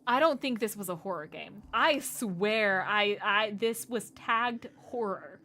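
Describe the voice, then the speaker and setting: A woman streaming a game, reading its text aloud and reacting in an animated, exasperated way, with a hoarse voice. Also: medium pitch, slow, a little hiss or hum.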